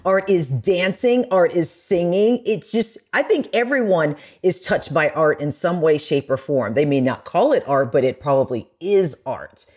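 A woman's voice: low voice, animated, normal speed, hardly any pauses, darkish tone, husky. A woman on a podcast panel, speaking casually and explaining her thoughts in a reflective way.

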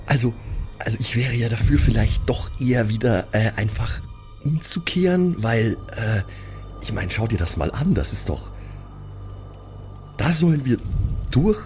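Hesitant, mellow-voiced male role-player. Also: medium pitch, very animated, measured pace, long pauses. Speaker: role-playing game player. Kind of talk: improvised in-character chat. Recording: music behind the voice. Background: music.

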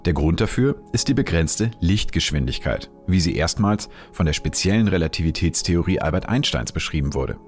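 A man narrating a science explainer in a calm, measured, explanatory way. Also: low-pitched.

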